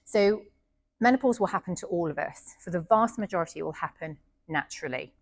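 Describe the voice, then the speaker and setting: A woman's voice: lowish voice, animated, fairly quick, dry recording. A British woman doctor in her thirties or forties giving a friendly, chatty talk to an audience, with frequent ums. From United Kingdom. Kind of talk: informal presentation to an audience. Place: indoor venue with an audience.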